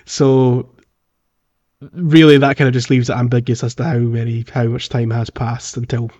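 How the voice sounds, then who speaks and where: A man co-hosting a podcast, chatting casually about a video game in a hesitant, halting way. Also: medium pitch, level tone, darkish tone, lo-fi sound. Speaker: podcast co-host.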